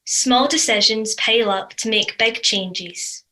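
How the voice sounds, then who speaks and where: Bright-voiced woman reading a reflection. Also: on the high side, slow, rough recording. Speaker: scripture meditation reader. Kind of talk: scripted devotional reading.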